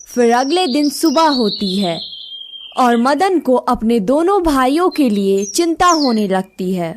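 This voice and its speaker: A woman's voice: high-pitched, expressive, slow pace, over music. A woman narrating a scripted moral story for children.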